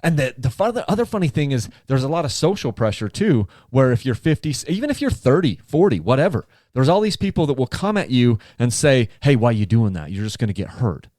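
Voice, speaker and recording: A man's voice: mid-range voice, big pitch swings, a little fast, hardly any pauses, darkish tone, no echo. A man hosting a podcast interview from his home office, talking in a thoughtful, rambling way that often restarts his sentences. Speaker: podcast host. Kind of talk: casual interview conversation.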